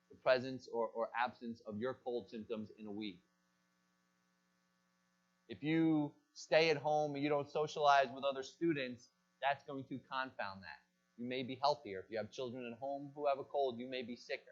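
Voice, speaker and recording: A man's voice: medium pitch, fairly bright tone. Man lecturing on a dull lo-fi recording. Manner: asks the class questions and answers them himself. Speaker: pharmacy college lecturer. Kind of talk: classroom lecture.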